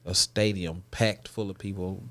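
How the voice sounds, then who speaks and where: A man's voice: low-pitched, little pitch movement, unhurried, a bit bright. A man chatting informally as a guest, repeating his phrases for emphasis. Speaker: guest, stage writer, producer and actor. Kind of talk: casual chat.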